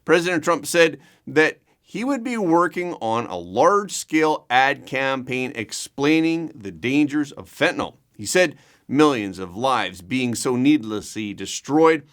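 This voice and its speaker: A man reading scripted news as an anchor, with a measured, emphatic delivery. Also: mid-range voice, lively intonation, fairly bright tone.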